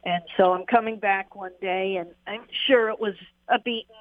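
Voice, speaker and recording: A woman's voice: medium pitch, fairly even intonation, normal speed, no room sound. A woman and former jockey, telling stories in a rambling, casual interview with frequent laughs and fillers. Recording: tinny phone line.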